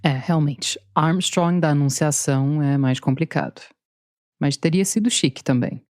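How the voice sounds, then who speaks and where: A woman's voice: low voice, normal speed, a little mellow, no room sound. A woman narrating a documentary podcast in a scripted, playful way, mixing her lines with interview clips. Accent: Brazilian Portuguese. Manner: dry, playful storytelling.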